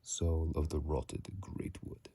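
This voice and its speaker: A hushed ASMR host whispers right into a phone mic. The voice is extremely breathy, with a crackly fry underneath and a soft, dark tone. The delivery is unhurried, moving between reading and casual commentary. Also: rumbling low, fairly even intonation, dry recording. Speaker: video creator and host. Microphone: phone mic held close.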